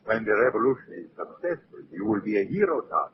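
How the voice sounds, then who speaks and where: An actor playing a courtly foreign revolutionary with formal, deliberate speech in 1930s radio drama style. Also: male voice, lowish voice, big pitch swings. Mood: earnest, conspiratorial. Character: courtly foreign revolutionary doctor, smooth conspirator. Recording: distant, muffled, noisy old radio recording.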